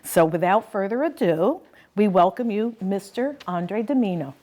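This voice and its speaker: Deliberate woman reading a formal introduction. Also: medium pitch, animated, heavy background noise. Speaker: introducer at a meeting. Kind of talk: scripted speaker introduction, read aloud.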